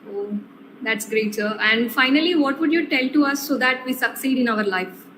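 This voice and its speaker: A woman's voice: sits high, normal speed. Polite woman asking formal questions. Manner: polite, formal, says sir often. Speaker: student host. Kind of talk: online seminar Q&A. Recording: echoey room with faint noise.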